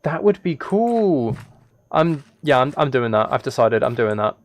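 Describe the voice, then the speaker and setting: A man chatting casually as a live game streamer, musing aloud in a relaxed, low-key way. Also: a little slow. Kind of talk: casual chat over Minecraft gameplay.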